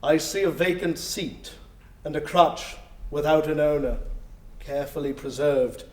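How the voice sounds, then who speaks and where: A roomy echo sits around a stern ghost , as a man acts it out. The spirit is grave, measured and solemn, a storybook prophet of doom with a fairly high male voice. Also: close to an everyday voice. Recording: roomy live recital sound.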